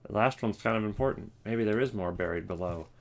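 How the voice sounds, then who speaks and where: Man, low voice, casual ranking commentary, opinionated host. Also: fast talker. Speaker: host of a game voice line ranking video.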